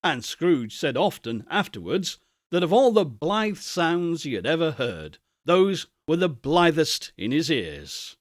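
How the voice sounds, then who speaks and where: A man reads a classic story aloud as narrator, with expressive literary delivery. His pitch is slightly high and moves around a great deal, and the recording is close and dry. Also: unhurried, no echo.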